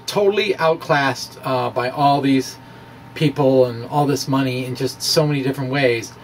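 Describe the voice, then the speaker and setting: A man giving an informal explanatory physics monologue in a halting, self-deprecating, conversational way. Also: medium pitch, distant mic, slight background noise, rough recording. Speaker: amateur physics video blogger.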